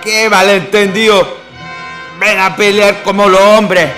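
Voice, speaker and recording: A man's voice: high voice, fairly even intonation, takes it slowly, pushed and bright, soft background music, full character voice. A man playing a furious, jealous husband with a Chilean accent in a radio drama, threatening and spoiling for a fight.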